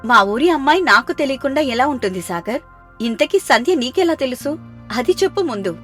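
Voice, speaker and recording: A woman voicing a kind, reassuring young sister-in-law in an animated family story, with music behind. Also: high voice, brisk. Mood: warm, curious.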